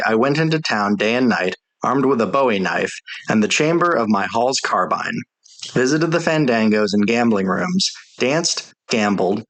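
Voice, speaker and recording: A man's voice: on the low side, a bit bright, low-quality recording. A man reading a soldier's memoir aloud as scripted audiobook narration.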